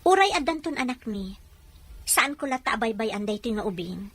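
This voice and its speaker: A woman playing a kindly married woman taking in a baby, expressive and questioning, in an Ilocano audio drama. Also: on the high side, a little fast. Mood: warm, assuring.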